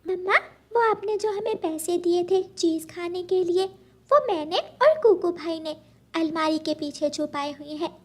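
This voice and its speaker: A woman's voice: extremely high pitch, unhurried, voice pushed up. A woman voicing a sweet, eager little girl bird in a cartoon story, with a childlike, pleading way of talking. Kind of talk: Hindi cartoon story dubbing.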